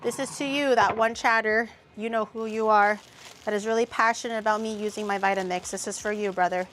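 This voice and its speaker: A woman's voice: mid-range voice, fairly even intonation, brisk, noisy background. A woman VTuber chatting casually while cooking in her home kitchen, blunt and profane, murmuring as she tastes the food. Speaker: streamer and VTuber. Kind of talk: casual cooking livestream chat.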